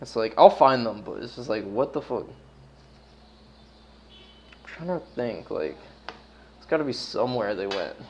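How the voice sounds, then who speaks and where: A man's voice: medium pitch, expressive, measured pace, pauses often. An American man chatting casually as he hosts a livestream, slangy and swearing freely, with a laid-back stoner way of talking. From United States. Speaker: livestream host.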